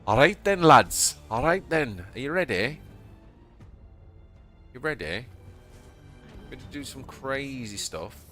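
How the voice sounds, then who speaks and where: A man with a Yorkshire accent streaming a card game live, chatting to viewers and yelping with excitement at surprises. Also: takes it slowly, long pauses, soft background music. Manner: excitable, bursts into high yelps at surprises. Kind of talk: live gameplay commentary.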